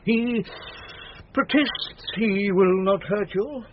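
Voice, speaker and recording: An actor playing a boisterous, mischievous older knight with hearty bluster in a comic Shakespearean radio play. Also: male voice, high-pitched, takes it slowly. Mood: mock solemn. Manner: hearty, blustering, chuckling, hesitating for effect. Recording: dull 1970s radio recording, faint noise.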